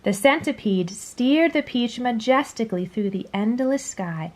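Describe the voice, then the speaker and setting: A woman reading a children's story aloud in a dramatic storytelling style, dropping to a hush at phrase endings. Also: a little high, animated, unhurried. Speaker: storybook reader. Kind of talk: expressive children's read-aloud narration.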